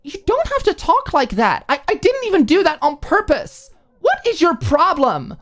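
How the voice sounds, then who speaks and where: A woman's voice: very high voice, big pitch swings, moderate pace, pitched above the performer's own voice. A woman voicing an indignant young female student, huffy and exasperated, in an audiobook drama. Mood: angry, hurt. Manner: huffy, exasperated, rhetorical questions. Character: Maud, indignant young woman student ranting at her roommate.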